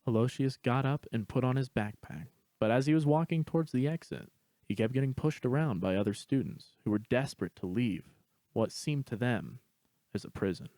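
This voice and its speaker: A man's voice: medium pitch, moderate pace, dark tone, no echo. A man reading scripted story narration as the narrator.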